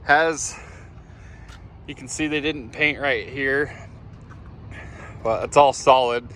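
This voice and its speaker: A man giving a casual walkaround sales pitch as a truck seller, plain-spoken and in short clipped phrases. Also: slow pace, fairly bright tone, slight background noise. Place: outdoors around a truck and inside it while driving.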